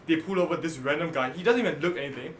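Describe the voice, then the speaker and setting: A man co-hosting a casual podcast, telling a story excitedly with lots of swearing among friends. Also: fairly high pitch, rapid-fire, echoey room, slight background noise. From raised partly in Singapore. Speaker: podcast co-host. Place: indoor room with friends.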